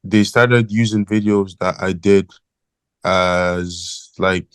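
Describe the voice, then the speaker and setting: A man's voice: deep voice, fairly even intonation, clear, resonant tone, no room sound. Hesitant Irish teenage boy on a call. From Ireland. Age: teens. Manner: hesitant, halting, with many fillers. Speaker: caller, interview guest. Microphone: phone call.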